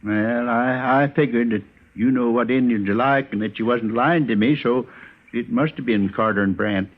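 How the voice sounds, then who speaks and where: An actor playing a simple, proud, stubborn homesteader in a radio western, plain-spoken and halting, American accent. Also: male voice, medium pitch, lightly acted. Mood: puzzled, resigned. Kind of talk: old-time radio western acting. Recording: dull old radio recording.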